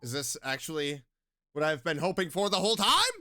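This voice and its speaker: A man streams a game with casual reaction commentary. His voice sits high for a man and moves in a lively way. He talks slowly, with music clearly behind him.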